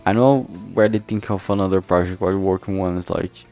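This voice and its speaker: A man's voice: sits low, steady tone, quick pace, a little mellow, soft background music. A man chatting casually on a work stream, thinking aloud hesitantly and often trailing off. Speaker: streamer making a visual novel.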